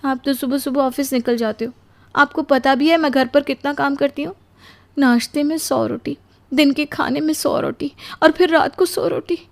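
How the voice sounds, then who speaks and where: Woman as young bride, very high voice, worn out, aggrieved, plaintive, cartoon story melodrama. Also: fairly even intonation, normal speed. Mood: weary, plaintive. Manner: plaintive, complaining. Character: exhausted, put-upon young newlywed bride, aggrieved and tearful.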